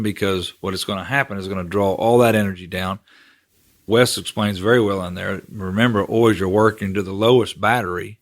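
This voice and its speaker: A male co-host with a lowish voice, walking through technical points in a steady, casual way. He leans on "you know" fillers as he explains. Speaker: co-host, general manager.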